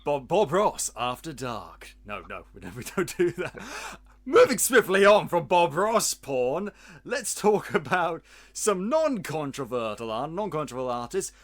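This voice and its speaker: A man hosting a podcast in casual chat, theatrical and booming, jumping between voices. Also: sing-song, a little slow. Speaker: podcast host.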